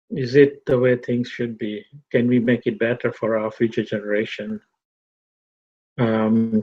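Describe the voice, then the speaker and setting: A middle-aged man from Tanzania giving a reflective talk to a fellowship, measured and repetitive. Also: medium pitch, a little mellow. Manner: slow, measured, repeats phrases. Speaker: fellowship speaker. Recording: dull lo-fi recording.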